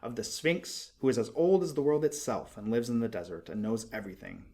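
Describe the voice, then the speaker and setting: A man narrating a literary story expressively, with measured, deliberate phrasing. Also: mid-range voice, warm tone. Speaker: audiobook narrator.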